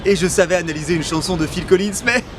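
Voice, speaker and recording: A man's voice: high-pitched, fast talker, background noise. A man hosting a parody language lesson in a mock-earnest, cheeky manner. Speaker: comedic language teacher host.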